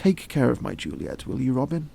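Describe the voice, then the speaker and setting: An actor playing a worldly, middle-aged father in an audio drama, smooth-talking, provocative and theatrical. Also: medium pitch, big pitch swings, fairly quick, noisy background. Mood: teasing, knowing.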